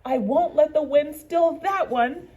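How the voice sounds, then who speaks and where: A woman's voice: high-pitched, unhurried, mellow, highs cut off, pitched above the performer's own voice. A woman voicing a worried, caring young male fox cub in an earnest, exclaiming way for a storybook.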